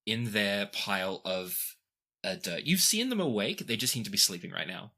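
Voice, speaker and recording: A man acting as game master, improvising narration for a tabletop game in a measured way with pauses mid-sentence. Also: lowish voice, big pitch swings, vocal fry, pushed and bright.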